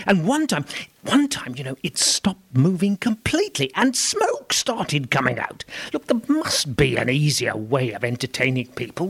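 A man acting a peevish complainer in a radio comedy testimonial. He sounds exasperated, with a husky, fairly high voice that moves up and down in lively swings. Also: heavily acted.

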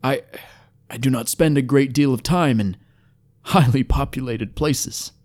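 A man voicing a wounded male elf with a hoarse, weak voice and formal, halting, courteous speech in a fantasy audiobook. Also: mid-range voice, a little mellow, pitched above the performer's own voice, close to an everyday voice. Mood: surprised, wary.

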